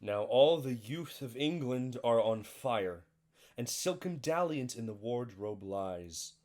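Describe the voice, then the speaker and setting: An actor playing a solemn male chorus and bearer of grievous news, declaiming Shakespearean verse in a measured manner. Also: medium pitch, expressive, a little mellow. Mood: solemn, portentous.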